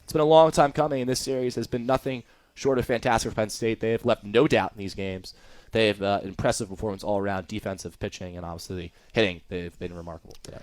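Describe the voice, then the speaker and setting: A man's voice: on the low side, fairly even intonation, quick pace, darkish tone, no room sound. Young American male baseball color commentator. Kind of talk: live sports commentary.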